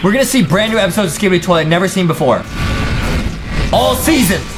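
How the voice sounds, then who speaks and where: Young man, American accent, animated pitch, excited reaction commentary, exclamatory, slangy, music behind. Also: fast talker. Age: young adult. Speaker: YouTube host.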